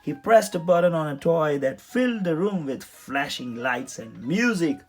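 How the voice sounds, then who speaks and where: A man reading a children's story aloud in an expressive, dramatic storytelling manner. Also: a little high, moderate pace. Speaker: storybook reader. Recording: music behind the voice. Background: music.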